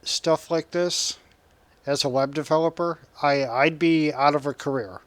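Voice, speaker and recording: A man's voice: on the high side, fairly even intonation, measured pace, no echo. A man streaming a video game from home, chatting casually, reading out chat messages and laughing often. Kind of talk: casual live-stream chat over gameplay.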